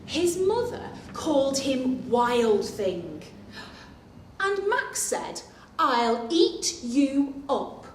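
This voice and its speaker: A woman reading a children's picture book aloud at story time as a librarian, animated and expressive, pausing for asides and questions to young listeners. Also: high-pitched. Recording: echoey room, distant mic, faint noise.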